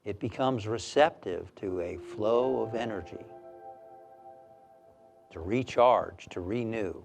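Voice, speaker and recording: Calm guy doing guided meditation, really slow w long pauses, soft music behind him. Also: on the low side, creaky. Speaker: minister leading a guided meditation.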